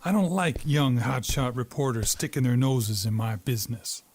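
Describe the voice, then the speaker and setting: A man's voice: medium pitch, lively intonation, moderate pace, a little mellow, breathy edge. An actor playing a menacing, arrogant gangster boss in a 1950s noir radio drama, with a sneering, threatening tough-guy delivery.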